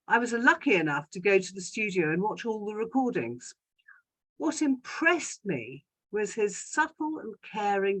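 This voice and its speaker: Woman in her sixties, measured speaker. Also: mid-range voice, fairly bright tone, poor audio. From London, England. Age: 60s. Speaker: guest speaker giving a talk about a parent. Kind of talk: prepared personal talk read aloud. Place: indoors in a home study, on a video call.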